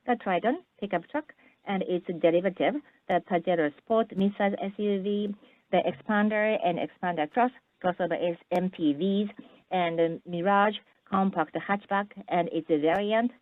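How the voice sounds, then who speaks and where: Low-pitched woman on a tinny phone line. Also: no room sound. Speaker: corporate earnings call presenter. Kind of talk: scripted business presentation.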